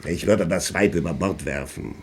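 An actor playing a stern, menacing pirate captain in a radio play, commanding and threatening with a husky voice. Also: male voice, low-pitched, moderate pace. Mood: angry, menacing.

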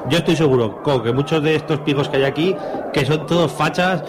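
A man's voice: fairly high pitch, brisk, a crowd in the background, background noise, close to an everyday voice. A man playing a crude, bullying, reactionary policeman with an Aragonese Spanish accent in an amateur radio drama. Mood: ranting, contemptuous. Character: brutish, reactionary, foul-mouthed policeman beating a hippie.

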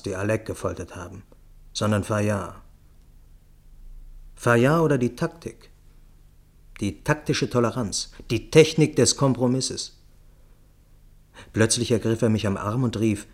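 A man giving a scripted first-person literary narration for a radio play, voicing the dialogue as he tells it. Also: lowish voice.

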